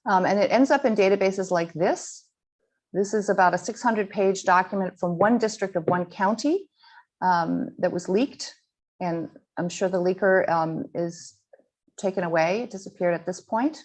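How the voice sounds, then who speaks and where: A woman giving a slide presentation to a school audience, earnest and halting, with frequent ums and restarts. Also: medium pitch, a little mellow. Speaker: guest speaker presenting slides.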